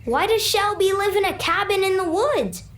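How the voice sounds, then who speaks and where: Very high-pitched opinionated little kid. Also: female voice, lively intonation, normal speed, fairly bright tone, echoey room, a little hiss or hum. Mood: opinionated, dismissive. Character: opinionated young child, chatty and dismissive of grown-ups. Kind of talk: voiced video game dialogue.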